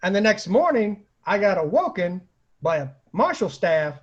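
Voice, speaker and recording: A man with a husky voice tells comic personal anecdotes in a casual, animated way, acting out the lines people said. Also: sits high, normal speed. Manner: animated, acts out quoted lines, laughs at own stories. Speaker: storyteller, online show host. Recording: dull lo-fi home recording.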